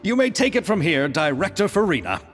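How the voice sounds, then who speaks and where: An earnest, courteous producer in a game. His fairly high voice moves with lively swings of pitch, polite and formal, with reverb around it. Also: fairly quick, soft background music. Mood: earnest, grateful. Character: earnest, grateful, polite male film producer. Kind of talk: video game voice acting.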